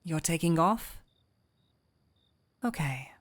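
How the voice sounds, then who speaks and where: A woman playing a gentle, caring physician in a fantasy audio drama, patient and soothing, with a softly teasing touch. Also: sits low, lively intonation, slow, no echo. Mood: coaxing, tender. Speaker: audio roleplay voice actor. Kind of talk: intimate scripted audio roleplay.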